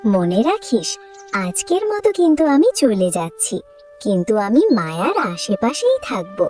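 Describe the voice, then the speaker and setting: A woman's voice: mid-range voice, very animated, normal speed, mellow, faint music behind, natural voice. A woman voicing a young, indignant female ghost in a dramatic cartoon fairy tale. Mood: menacing, angry. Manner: dramatic, threatening when angered.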